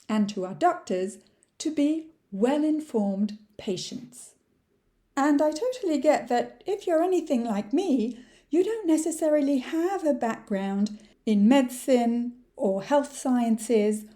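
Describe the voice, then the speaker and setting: A woman's voice: high voice, mellow. A woman hosting a podcast, from Quebec and a native French speaker, speaking upbeat and straight to her listeners. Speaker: podcast host. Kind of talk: podcast interview and promotional outro.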